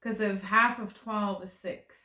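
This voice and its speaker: A woman's voice: medium pitch, steady tone, a little slow, pushed and bright, reverberant. A woman in her twenties chatting at home in her living room, laid-back with short replies. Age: 20s. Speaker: co-host. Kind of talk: casual chat.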